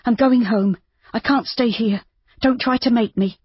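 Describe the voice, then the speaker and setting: Narrator playing a scared, headstrong young heroine, husky, muffled audio. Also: female voice, measured pace, no echo. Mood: panicked. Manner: urgent, higher and tense. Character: frightened, headstrong young woman. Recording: dull muffled audiobook recording.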